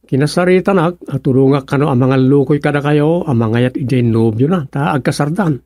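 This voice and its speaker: A mellow, slightly high-voiced young man, played by a man in a comedic radio serial. He is eager and pleading but halting, stumbling over his words, recorded close and dry. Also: no echo, lightly acted. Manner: halting, stammering. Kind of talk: comedic radio drama acting.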